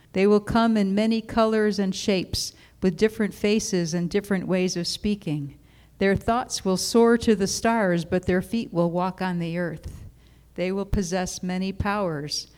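A woman voicing wise Old Turtle, slow and solemn. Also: medium pitch, steady tone, darkish tone, smooth, lightly acted. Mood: proclaiming. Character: wise old female turtle, calm and gentle.

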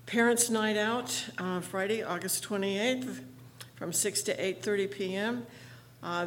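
Woman pastor, a bit rough-voiced, chatty announcements full of "uh"s, faint hum of a church hall behind. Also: mid-range voice. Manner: friendly, chatty, with frequent fillers. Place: church sanctuary.

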